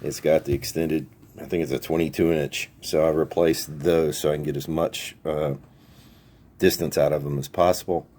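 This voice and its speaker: A man's voice: extremely low pitch, level tone, normal speed, a little mellow. A man hosting a video, explaining gear in an informal, folksy, plain-spoken way. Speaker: YouTube channel host. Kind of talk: casual product overview, explaining radio gear.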